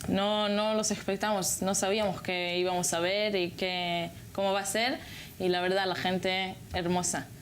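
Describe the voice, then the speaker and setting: A young woman from Israel gives interview answers in a language that is not her first. She hesitates and searches for words. Her pitch is average for a woman, with slight background noise. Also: level tone, normal speed. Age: young adult. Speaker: guest, former volunteer.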